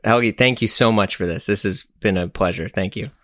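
A man's voice: fairly low pitch, a little fast, fairly bright tone, dry recording, studio quality. A man hosting a podcast, friendly and chatty, who laughs easily while interviewing his guest. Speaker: podcast host. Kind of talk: casual podcast interview and outro.

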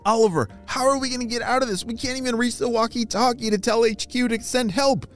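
A storyteller voicing an excitable, wide-eyed young boy spy in a children's adventure tale. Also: mid-range voice, fast talker, soft background music, voice pushed up, close to an everyday voice. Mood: worried. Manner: exclaiming, wide-eyed, dramatic.

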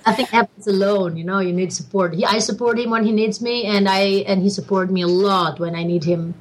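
A woman from Albania talks as a guest in a relaxed interview, her voice sitting at an ordinary middle pitch. She laughs easily and stumbles through restarts as she tells her stories. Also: fairly quick. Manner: laughs often, frequent restarts. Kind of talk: casual interview chat.

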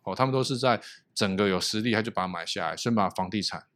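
A low-voiced man with a Taiwanese Mandarin accent hosts a podcast. He talks at length in a casual, explanatory way, laying out his points as he goes. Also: fast talker, a bit bright. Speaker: podcast host.

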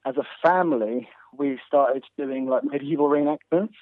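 A man's voice: medium pitch, normal speed. Man from North Wales on a phone line. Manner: rambling with many restarts. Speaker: guest hunter and filmmaker. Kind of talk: casual interview chat.

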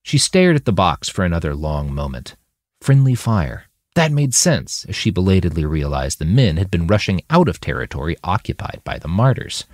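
Guy reading a story audiobook style, really low voice, super up and down, dry sound. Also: sing-song, normal speed, a little mellow, no room sound. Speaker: author reading his fiction. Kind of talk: dramatic audiobook narration.

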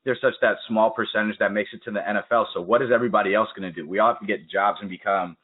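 Casting: young male podcast host, lowish voice, lively storyteller, phone-quality audio. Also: rapid-fire, slightly rough. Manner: energetic, storytelling with quoted asides. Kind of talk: casual interview chat. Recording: tinny phone-like call audio.

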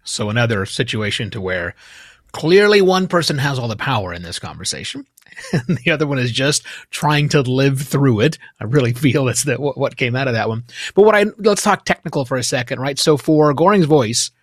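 A man's voice: mid-range voice, lively intonation, a little fast, no echo, studio quality. A man hosting a podcast interview, giving conversational commentary and asking technical questions. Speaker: podcast host.

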